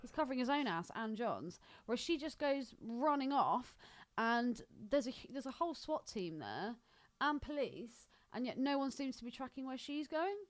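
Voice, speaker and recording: A woman's voice: sits high, animated, normal speed. A woman co-hosting a podcast, chatting casually about a film in a blunt, sceptical way. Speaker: podcast co-host.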